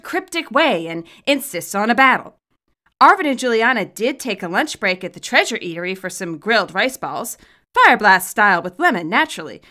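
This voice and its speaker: Bright, animated woman narrator. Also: mid-range voice. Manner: expressive, wry. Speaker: fan fiction author and narrator. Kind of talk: scripted narration, storytelling.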